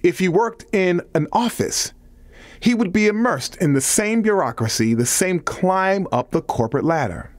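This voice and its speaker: A man's voice: sits high, lively intonation, moderate pace, dry recording. A man reading a nonfiction audiobook as a scripted narration.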